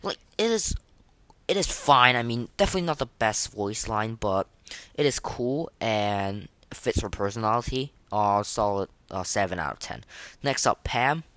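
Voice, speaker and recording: This is a podcast host talking through game opinions off the cuff. The delivery is halting and rambling, full of slangy verdicts. The voice sits at a middling pitch, recorded dry with very little room. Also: expressive, fairly bright tone, no echo. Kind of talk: casual unscripted game review commentary.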